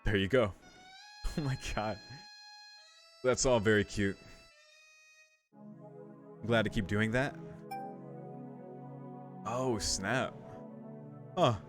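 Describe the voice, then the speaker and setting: A man's voice: lowish voice, drawn-out words, long pauses, faint music behind. A man giving casual, laid-back commentary as he plays through a game, murmuring short reactions. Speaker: video game streamer.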